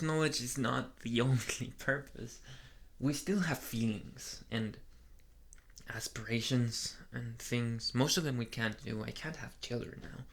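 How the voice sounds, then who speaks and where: Guy doing a shy flustered young vampire boyfriend, asmr roleplay, talks real slow and halting, lots of vocal fry. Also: mid-range voice. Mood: tender, wistful. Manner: hesitant, halting, earnest, murmuring. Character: soft, flustered, earnest young male vampire on a date. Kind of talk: ASMR boyfriend roleplay, soft intimate monologue.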